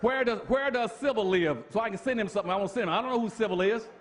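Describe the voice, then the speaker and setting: A man with a notably high voice delivers a fiery public lecture. He talks like a preacher, leaning hard on repetition and rhetorical questions and pressing each point home. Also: very fast, a faint crowd, slight background noise.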